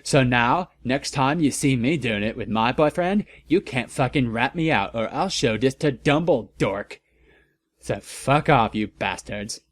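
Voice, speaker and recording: A man's voice: mid-range voice, animated, measured pace. A man voicing a vain, foul-mouthed goth teenage girl with a snarky, melodramatic manner in a comedic story reading.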